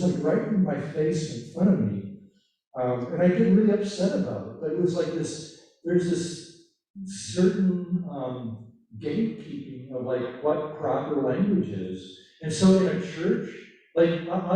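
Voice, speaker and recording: Slow, mellow male workshop leader with a Dutch accent, halting delivery, recorded far off in a very echoey church hall. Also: medium pitch. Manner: hesitant, thinks aloud. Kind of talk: conversational workshop talk.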